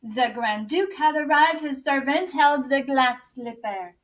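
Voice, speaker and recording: A woman reading a children's story aloud in an expressive, theatrical, deliberate way. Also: high voice. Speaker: English teacher and drama director reading aloud.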